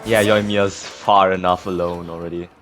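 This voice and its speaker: A man's voice: low-pitched, level tone, normal speed, music in the background. A young man giving casual, sarcastic, exasperated commentary over gameplay.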